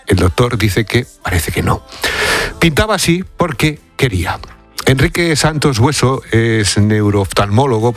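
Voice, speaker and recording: A man with a Castilian Spanish accent hosting a conversational radio interview. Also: mid-range voice. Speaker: radio host interviewing a guest.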